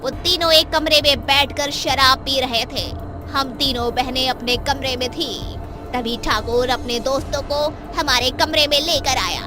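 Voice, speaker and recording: A high, hushed young witch ghost, as a woman acts her out, mournful and wronged, her telling sinking toward a whisper. A dark cartoon horror tale with music behind her. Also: unhurried. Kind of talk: animated horror story voice acting.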